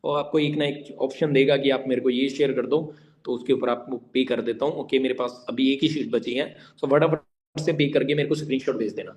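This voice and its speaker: A man's voice: mid-range voice, steady tone, brisk, darkish tone. A man giving informal online training, emphatic and repetitive, addressing listeners directly and mixing in English words. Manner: mixes English words and phrases into speech. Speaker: online network-marketing trainer. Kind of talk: informal instructional talk to an online meeting.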